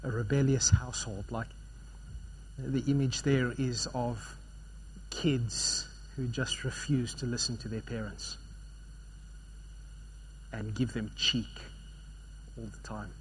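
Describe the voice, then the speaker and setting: A man preaching a sermon, swinging between loud emphatic passages and quiet, low ones. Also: steady tone, slow, pauses often, slight background noise, poor audio.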